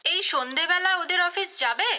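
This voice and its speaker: Caring, frank wife, extremely high-pitched voice, familiar and chiding, radio play. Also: female voice, normal speed, pushed and bright, full character voice. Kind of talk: audio drama acting.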